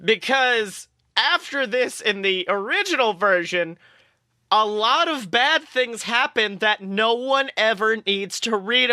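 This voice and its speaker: Bright, animated man reading stories aloud. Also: high-pitched, no echo, studio quality. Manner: expressive, playful. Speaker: podcast host. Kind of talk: storytelling, reading comic fan fiction aloud.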